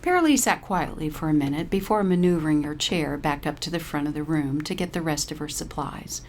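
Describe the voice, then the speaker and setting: A woman with a fairly low voice narrates a short story from a script. She reads as the storyteller between lines of dialogue. Noticeable noise runs behind her. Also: lively intonation, a little fast, darkish tone.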